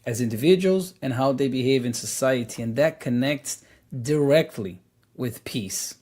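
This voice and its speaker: A man's voice: mid-range voice, lively intonation, normal speed, darkish tone. Earnest man explaining, lots of fillers. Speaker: podcast co-host. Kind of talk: casual spiritual discussion.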